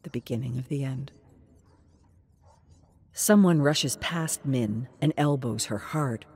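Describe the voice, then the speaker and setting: A narrator reading a scripted dramatic story in a measured, deliberate way. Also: mid-range voice, a little mellow.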